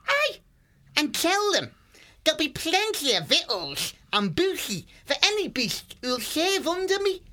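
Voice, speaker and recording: A narrator voicing a small, hot-tempered fox gang chief with a squeaky voice and rough, bossy, rustic speech in a storybook reading. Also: bright, forceful tone, exaggerated voice. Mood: bossy, impatient. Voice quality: squeaky, shrill.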